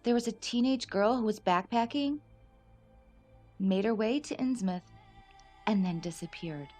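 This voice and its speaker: A woman acting in a radio play as a concerned, steady federal agent, questioning and reassuring. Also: medium pitch, unhurried, faint music behind, natural voice. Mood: serious, confiding.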